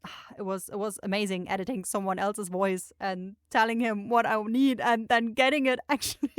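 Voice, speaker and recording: A woman's voice: mid-range voice, little pitch movement, normal speed, dry recording, studio quality. A woman channel host giving a casual, rambling chat to her listeners, searching for words as she goes.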